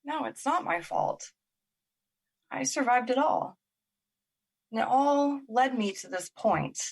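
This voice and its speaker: A woman's voice: on the high side, animated, takes it slowly, gravelly, darkish tone, full character voice. A woman playing a confused, weary young woman in an emotional audio drama, questioning and emotionally exposed. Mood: resolved. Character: Olivia, confused, weary, vulnerable young woman finding courage.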